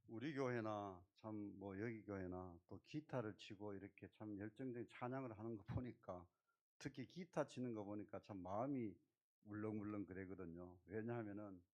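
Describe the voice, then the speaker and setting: A middle-aged man, a church elder speaking modestly and haltingly from the pulpit. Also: fairly low pitch. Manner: soft-spoken, halting, modest. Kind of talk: testimony-style talk from the pulpit. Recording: dull lo-fi church recording.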